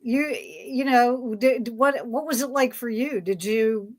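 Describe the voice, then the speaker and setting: A woman's voice: on the high side, slow pace. A woman talking casually and off the cuff as a participant in a fan panel.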